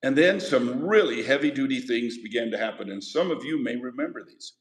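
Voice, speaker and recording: A man's voice: medium pitch, expressive, fairly quick. Male history teacher lecturing in an echoey classroom. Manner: conversational, asks the class questions.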